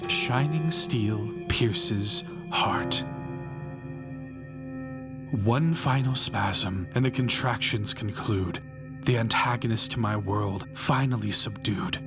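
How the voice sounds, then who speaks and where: A fanatical killer acted by a man narrates in slow, deliberate verse with grandiose zeal. His delivery is theatrical, like a dark audiobook monologue, and music plays clearly behind him. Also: lowish voice. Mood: grim triumph. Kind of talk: dramatic rhyming poetry audiobook narration.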